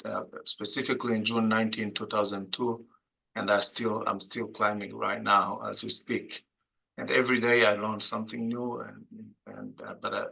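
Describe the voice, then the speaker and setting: A man from the Congo with a Congolese accent, introducing himself as a panelist in a webinar over a video call, halting, with many fillers and restarts. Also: lowish voice, vocal fry, pushed and bright, rough recording. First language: Kinyamulenge.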